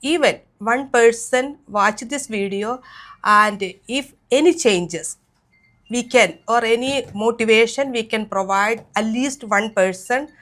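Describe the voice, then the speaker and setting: A woman's voice: a little high, takes it slowly, fairly bright tone. A middle-aged woman hosting an interview, talking in a rambling way with many fillers. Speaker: host.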